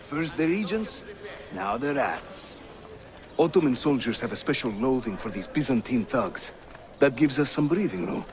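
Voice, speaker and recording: An actor playing a jovial, witty Turkish assassin leader who guides newcomers, with a Turkish accent and a teasing, theatrical delivery in a video game. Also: male voice, medium pitch, expressive, moderate pace, close to an everyday voice. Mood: wry, reassuring. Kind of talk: video game character acting. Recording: loud music behind the voice.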